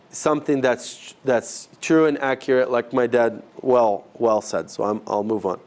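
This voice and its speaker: Man teaching a group, halting and chatty. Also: mid-range voice, darkish tone. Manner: conversational, halting, rephrasing as he goes. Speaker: ministry trainer teaching a group. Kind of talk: informal classroom teaching. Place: live session with a group present.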